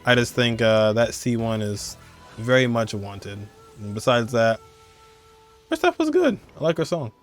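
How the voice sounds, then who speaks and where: Guy doing casual gaming countdown commentary, slangy, chatty, regular pitch, music behind him. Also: sing-song, a little slow. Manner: slangy, conversational, chuckling asides. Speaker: host of a video game countdown show.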